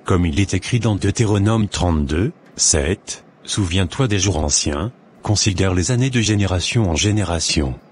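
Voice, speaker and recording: Very deep-voiced, earnest devout schoolboy son, played by a man. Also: expressive, husky. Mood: reverent, solemn. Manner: earnest, reverent, measured. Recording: noticeable background noise.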